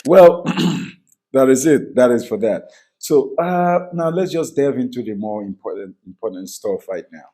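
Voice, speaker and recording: A man's voice: medium pitch, animated, a little slow, dark tone. A man hosting a podcast in his studio, talking earnestly and rambling with many fillers. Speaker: podcast host. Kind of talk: podcast interview.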